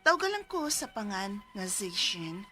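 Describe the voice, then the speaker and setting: A woman's voice: medium pitch, big pitch swings, a little slow, pushed and bright. A young woman in her twenties with a Cebuano accent, narrating and acting her own story in a radio drama, earnest and easily alarmed. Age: 20s. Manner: earnest, then breathless and panicky in scenes.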